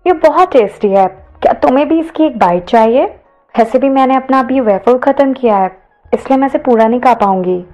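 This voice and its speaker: A woman voicing an eager, curious young female college student in an audio story, talking in an excitable, inquisitive way. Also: sits high, brisk, rough recording. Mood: curious, friendly. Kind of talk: audio story character dialogue.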